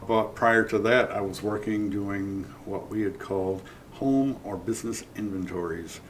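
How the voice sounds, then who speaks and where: Brief: a man from New England, chatty guest telling business stories, lowish voice, faint background hum. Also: a little slow. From Massachusetts. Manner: chatty, tells anecdotes. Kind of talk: casual interview storytelling.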